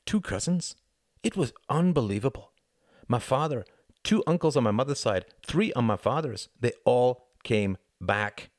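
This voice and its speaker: A man's voice: mid-range voice, expressive, normal speed, no echo, studio quality, pitched above the performer's own voice, lightly acted. A man voicing an earnest, sardonic young working-class man in a storybook, speaking in an emphatic, rhetorical way. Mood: wry storytelling.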